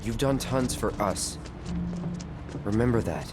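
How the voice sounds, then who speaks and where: A man playing a terse, stoic young ex-soldier in a video game, curt and deadpan. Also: mid-range voice, measured pace, natural voice. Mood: grim, steady. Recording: music behind the voice.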